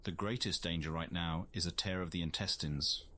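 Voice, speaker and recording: A man playing a solemn scientific observer, narrating a visual novel in a measured, formal nature documentary style. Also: low voice, fairly even intonation, sharp tone. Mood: grave, reflective. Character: solemn male scientific observer narrating alien whale life.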